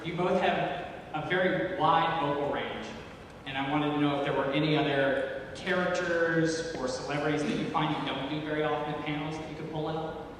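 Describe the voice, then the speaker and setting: Higher-voiced man asking from the audience. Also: fairly even intonation, brisk. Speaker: audience member asking a question. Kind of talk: polite, casual audience question. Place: large indoor convention hall. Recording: distant echoey hall, clear rumbling noise.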